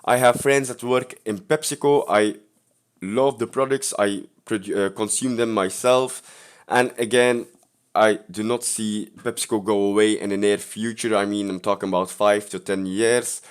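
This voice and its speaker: A man's voice: on the low side, moderate pace, clear, resonant tone. Young man casually walking through his portfolio. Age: 20s. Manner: relaxed, upbeat, speaks in short phrases with fillers. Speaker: investing channel host. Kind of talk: casual screen-share portfolio walkthrough.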